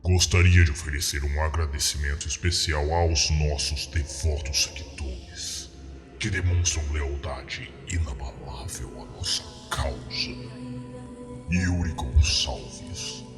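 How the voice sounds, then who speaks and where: Very deep, solemn cult priest. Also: male voice, exaggerated voice. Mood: reverent, grave. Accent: Brazilian. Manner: slow, breathy, ceremonial intoning. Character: solemn, sinister cult priest addressing devoted followers. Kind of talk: scripted horror roleplay monologue. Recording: echoing, with music underneath. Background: music.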